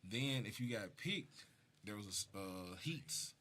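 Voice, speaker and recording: Man, Southern US accent, lower-pitched, sharp bright voice, rapper guest, casual storytelling. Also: lively intonation, measured pace. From Mississippi. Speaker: rapper being interviewed. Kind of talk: casual storytelling on a talk show.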